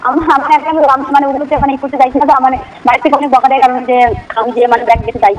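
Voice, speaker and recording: A young woman in a spontaneous phone conversation, explaining herself in halting, self-corrected phrases. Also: high voice, steady tone. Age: young adult. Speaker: student receiving a prank call. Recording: distant phone call, faint noise.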